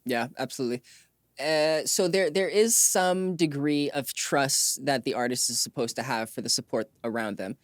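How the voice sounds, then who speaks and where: Male interview host. Conversational questioning and segment intros, medium pitch, dry recording. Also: moderate pace, no echo.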